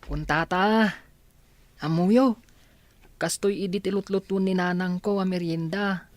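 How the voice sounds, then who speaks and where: A woman's voice: fairly low pitch, fairly even intonation, measured pace, darkish tone, dry recording, close to an everyday voice. A woman playing a polite, earnest young village schoolboy in an Ilocano radio drama, chatty and respectful. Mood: cheerful. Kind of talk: Filipino radio comedy-drama acting.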